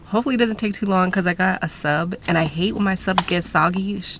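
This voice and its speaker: A woman's voice: on the low side, quick pace, noisy background. A young woman in her twenties chatting casually to a phone camera from inside a parked car, laid-back and swearing now and then. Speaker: vlogger. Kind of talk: casual vlog talk to camera. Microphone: phone camera at arm's length.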